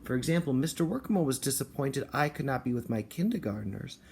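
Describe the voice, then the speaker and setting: Man, kindergarten teacher, mid-pitched voice, read-aloud lesson for kids and parents, some background noise. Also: animated, fairly quick, gravelly, a little mellow.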